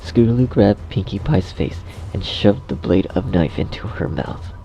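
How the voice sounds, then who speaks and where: Casting: male narrator for dark audio fiction, deep, fry-laden voice, measured reading with background music. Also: dull audio.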